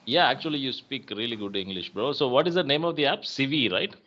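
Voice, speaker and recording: A man with a Tamil accent hosting an English practice chat, speaking clearly in a friendly, encouraging way. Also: medium pitch, fast talker, sharp tone, lo-fi sound, slight background noise. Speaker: host, English conversation partner.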